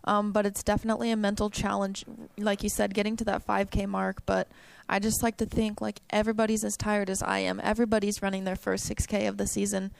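A young woman answers questions about her running with a gravelly, creaky voice. Her pitch stays flat and even while she chats on easily. The room sounds dry and close. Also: quick pace, no echo. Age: young adult. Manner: chatty, upbeat, frequent "um" and "like". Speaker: college cross country runner. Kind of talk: interview answers.

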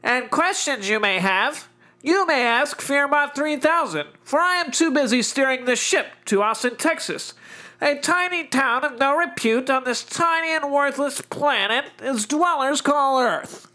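High, shrill, imperious alien overlord. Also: male voice, lively intonation, a little slow, pushed and bright, exaggerated voice. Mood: grandly proclaiming. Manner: pompous, declamatory, imperious. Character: grandiose, tyrannical male alien space lord proclaiming orders.

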